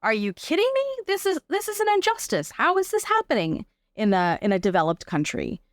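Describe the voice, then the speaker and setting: A woman's voice: on the high side, huge pitch swings, brisk, no echo, studio quality. A woman with a Canadian accent speaking as an interview guest in a casual, reflective way, informal and thoughtful as she explains things. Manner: informal, thoughtful, explains through examples and rhetorical questions.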